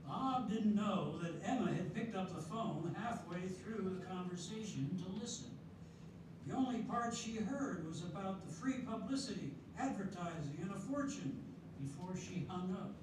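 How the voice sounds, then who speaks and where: An older man narrating a readers theatre show in a steady, measured way, recorded from far off in an echoey hall. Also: high-pitched, noisy background. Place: senior center hall.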